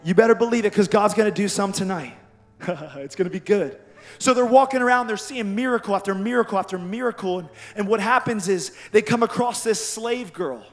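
Hoarse young man preaching to a youth group. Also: high voice, fairly quick. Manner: energetic, calls out to the crowd. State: hoarse, voice worn out. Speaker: youth pastor. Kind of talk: youth sermon.

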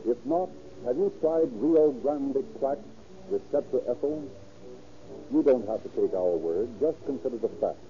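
A man's voice: medium pitch, lively intonation, a little slow, soft background music. A man announcing and narrating a scripted 1930s radio program in a formal, declamatory way. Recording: dull, lo-fi old radio recording.

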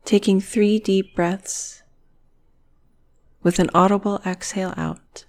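Woman, guided meditation, very slow pacing, even soft intonation, soothing, trailing into whispers. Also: medium pitch. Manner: soothing, breathy, phrase endings trailing into whisper.

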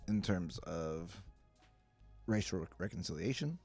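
A man with a hoarse, stuffy voice, giving a casual livestreamed Bible teaching in a chatty, self-deprecating way. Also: low-pitched, expressive, a little slow, darkish tone, soft background music. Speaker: pastor.

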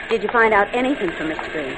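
Detective's girl Friday, played by a woman: eager, inquisitive, very animated, vintage radio with faint noise. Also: medium pitch, very fast, lightly acted. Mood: chipper. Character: perky, inquisitive young female sidekick of a detective. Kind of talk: 1940s radio acting. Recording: faintly noisy old-time radio recording.

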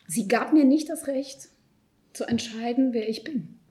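Thoughtful middle-aged woman with a mellow voice. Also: on the high side, a little slow. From born in Ukraine, raised in Israel. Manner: thoughtful, hesitant with frequent fillers and soft trailing asides. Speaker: psychologist and panel guest. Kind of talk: panel discussion.

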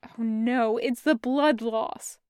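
A woman voicing a brash young man who swears and talks hysterically, loud and rushing. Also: a little high, steady tone, clear, resonant tone, no echo, clean studio sound. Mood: frantic.

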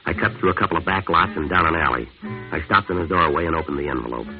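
Low, fast-talking hard-boiled American jazzman. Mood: deadpan, brooding. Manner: clipped, deadpan, wisecracking. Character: tough, world-weary male jazz musician narrating his crime story. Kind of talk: 1950s hard-boiled radio drama narration and dialogue. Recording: tinny old radio recording with music.

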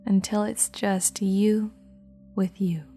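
A woman guiding a meditation in a soft, slow, half-whispered voice. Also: medium pitch, little pitch movement. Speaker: meditation guide. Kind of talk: guided meditation.

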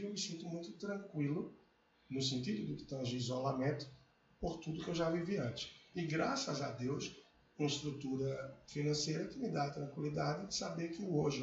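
A man's voice: fairly high pitch, creaky, slight background noise. A man in casual conversation, talking reflectively and with animation about family, hobbies and life. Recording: muffled echoey room, faint ambience.

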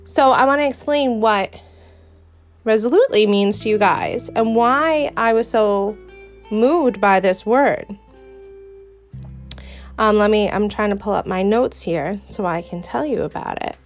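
A woman's voice: mid-range voice, unhurried, long pauses, faint music behind. Earnest New York woman hosting a podcast. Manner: earnest and chatty, with fillers and restarts. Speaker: podcast host. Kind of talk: casual faith podcast monologue.

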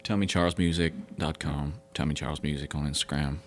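This is a French-native man speaking English as a musician guest in a studio. His voice is very deep. He talks in a relaxed, modest way and hesitates now and then. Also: a little fast. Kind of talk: casual interview chat. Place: recording studio.

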